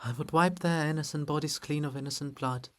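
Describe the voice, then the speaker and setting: A man voicing a stern, grieving princess monument in a solemn, measured, formal stage reading. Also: a little high, steady tone, a bit bright, natural voice. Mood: grieving, tender. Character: Princess Olha, stern, grieving, proud monument of a female ruler.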